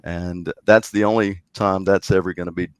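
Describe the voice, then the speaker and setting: A man's voice: low-pitched, steady tone, moderate pace, smooth, dry recording, poor audio. A man guest on a podcast, talking casually with many fillers and restarts. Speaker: guest, contest organizer.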